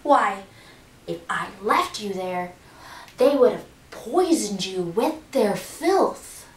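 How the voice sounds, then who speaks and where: A protective, scornful father, put on by a woman reading a folktale speaks slowly. The voice is distant, with room echo. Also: mid-range voice, lively intonation. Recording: roomy echo, distant mic.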